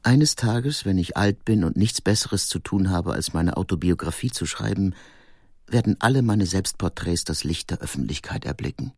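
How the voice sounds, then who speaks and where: An actor reading the measured first-person words of an aging, introspective male painter in a documentary. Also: low voice, expressive, a little mellow, slightly rough, no echo, studio quality, full character voice. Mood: reflective.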